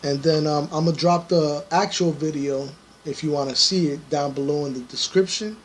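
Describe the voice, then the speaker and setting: A man's voice: a little high, steady tone, moderate pace, a little hiss or hum. A young man co-hosting a podcast, chatting casually with many fillers and treading carefully as he reacts to a video. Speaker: podcast co-host.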